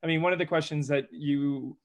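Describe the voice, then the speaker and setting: Halting moderator man, slightly high. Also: steady tone. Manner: halting, restarts often. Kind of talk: roundtable discussion.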